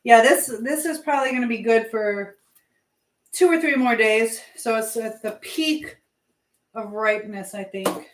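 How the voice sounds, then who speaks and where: A woman's voice: fairly high pitch, measured pace. A woman chatting casually and thinking aloud in a rambling way, as a livestream host busy in her home kitchen. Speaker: livestream host, language teacher. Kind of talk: casual live chat while cooking. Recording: roomy echo, clear background noise.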